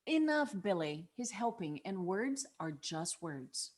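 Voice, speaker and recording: A woman's voice: mid-range voice, sing-song, takes it slowly, a little mellow. An actress playing a kind, level-headed young woman in a script table read, with a hesitant, conciliatory, conversational delivery. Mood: calming. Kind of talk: table read acting of a screenplay.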